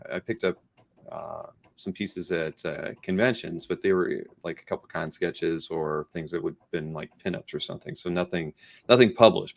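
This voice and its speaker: A man's voice: low-pitched, vocal fry. A man hosting a casual live interview, chatting in a hesitant way with restarted questions. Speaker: interview host.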